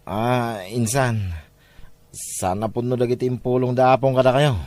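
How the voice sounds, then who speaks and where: An actor playing an abusive, scheming relative in an audio drama, with a scornful, brusque way of talking. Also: male voice, mid-range voice, little pitch movement, moderate pace, a little mellow, no room sound, lightly acted. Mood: meekly ingratiating. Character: abusive, lazy, scheming male relative who mistreats elders. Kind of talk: Ilocano audio drama acting.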